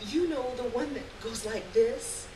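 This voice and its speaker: A woman's voice: on the high side, a little slow, voice pushed up, natural voice. A woman voicing a gossipy, haughty teenage private-school girl with drawling, girlish talk in a live story reading. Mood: probing. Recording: roomy, noisy live recording from a distance.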